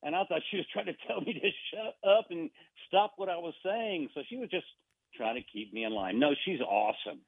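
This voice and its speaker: A man's voice: fairly high pitch, expressive, a little fast, dry recording. A man chatting casually as a radio guest, rambling with many restarts and fillers. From Argentina. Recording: tinny phone-line sound.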